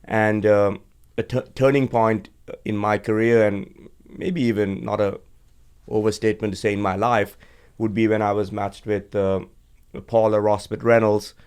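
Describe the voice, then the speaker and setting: A man in his forties, originally from Sri Lanka, speaks as a podcast guest in a low, steady register. His voice is smooth and resonant, with little rise and fall. He tells his story in a measured way, pausing on frequent fillers. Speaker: guest, energy executive. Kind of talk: conversational interview. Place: podcast studio.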